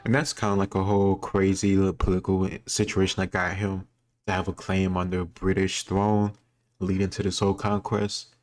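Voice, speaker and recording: A man giving casual commentary on a history quiz, thinking aloud in an informal, rambling way. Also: deep voice. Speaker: host reacting to a history quiz video.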